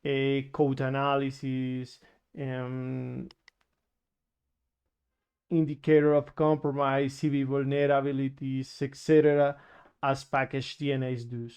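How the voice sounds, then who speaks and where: A man from Colombia presents his research in careful, unhurried English, his voice smooth and crisp. His delivery stays level and monotone, with frequent pauses and restarts as he searches for words. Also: mid-range voice. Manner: halting, many fillers and restarts. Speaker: security researcher. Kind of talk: conference presentation.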